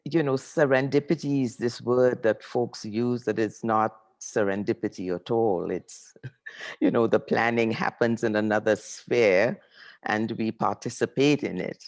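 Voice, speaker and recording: An older woman from Trinidad speaks with a notably deep, gravelly voice, full of vocal fry. She tells her story in an interview the way a professor would, unhurried and reflective, often repeating a phrase to let it land. Her delivery is conversational and thoughtful. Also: darkish tone.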